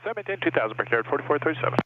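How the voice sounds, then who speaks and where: A man flying an airliner reads back clearances quickly in a mid-pitched voice. The radio is thin and phone-like with faint noise. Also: sing-song. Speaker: airline pilot. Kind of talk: radio readbacks.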